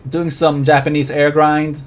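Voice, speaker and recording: A man's voice: a little high, flat delivery, measured pace. Swedish man with an American accent, faint music. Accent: General American. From Sweden. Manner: rambling, swears freely, talks to chat while playing. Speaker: game streamer. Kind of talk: casual live gaming commentary.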